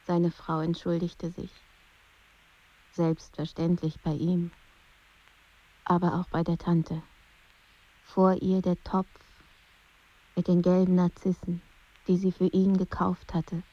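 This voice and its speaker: An actress playing a sober, restrained narrator in a literary radio play, speaking deliberately. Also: female voice, lowish voice, monotone, dull audio. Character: sober female narrator announcing chapters of a literary life.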